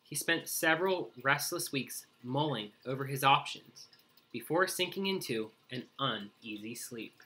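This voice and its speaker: Male storybook narrator: expressive read-aloud, crisp bright tone, animated pitch. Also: measured pace, low-quality recording. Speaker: story reader.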